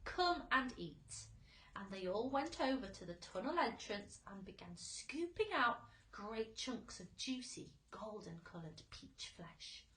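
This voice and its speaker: A woman's voice: mid-range voice, very animated, unhurried, hardly any pauses, fairly bright tone, echoey room, rough recording. A woman teacher reading a children's story aloud expressively for young listeners.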